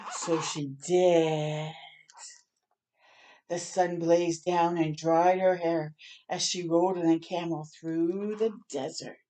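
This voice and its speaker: A woman reading a children's picture book aloud expressively, pausing to chat and ask young listeners questions. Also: fairly low pitch. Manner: animated, asks the listeners questions.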